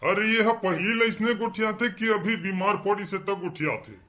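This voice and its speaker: A man's voice: high voice, normal speed, lightly acted. A man voicing a casual, bumbling village quack doctor in a comedy cartoon, chatty and repeating his reassurances with a Chhattisgarhi accent. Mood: breezy. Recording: noisy track with clicks.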